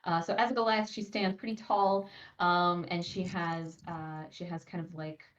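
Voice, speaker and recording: A woman's voice: lowish voice, little pitch movement, moderate pace. A woman casually describing her tabletop game character, hesitant and searching for words, on a muffled, roomy recording. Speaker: tabletop RPG player. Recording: muffled, roomy microphone sound.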